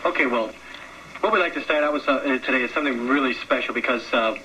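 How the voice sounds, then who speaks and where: A man performing comic banter as a radio guest, speaking earnestly and haltingly and repeating his words. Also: medium pitch, bright, forceful tone. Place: radio studio. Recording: faintly noisy 1979 radio broadcast recording.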